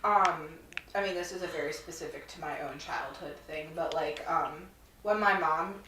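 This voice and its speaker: A woman's voice: low-pitched, normal speed, distant mic. A woman in her twenties co-hosting a casual chat while gaming, chatty, swearing freely, often restarting her sentences. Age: 20s. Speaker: co-host. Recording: echoey room, noisy, off mic.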